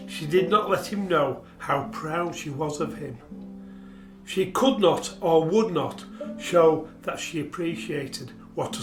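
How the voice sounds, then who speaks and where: An actor playing a sombre radio play narrator, telling the tale in a measured, emphatic way. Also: male voice, a little high, natural voice. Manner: measured, emphatic, repeating phrases. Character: sombre, measured male narrator recounting a marriage's tragedy. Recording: roomy echo with guitar behind. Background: soft plucked guitar music.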